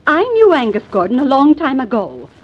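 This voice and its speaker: An actress playing a troubled women's club president in a 1940s radio drama, confessing haltingly. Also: female voice, sits high, huge pitch swings, breathy edge, exaggerated voice. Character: prim middle-aged woman, club president, troubled. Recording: dull old radio recording.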